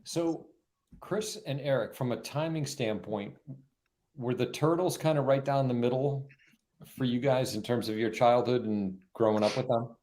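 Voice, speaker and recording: A soft-spoken man on a casual panel, asking measured questions. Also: medium pitch.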